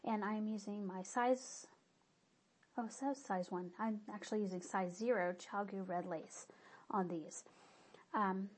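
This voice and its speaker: A woman's voice: medium pitch, fairly even intonation, unhurried, slightly rough. A woman with a stuffy nose giving a casual, rambling update on her knitting projects, often stopping to correct herself. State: stuffy-nosed. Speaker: knitting podcaster.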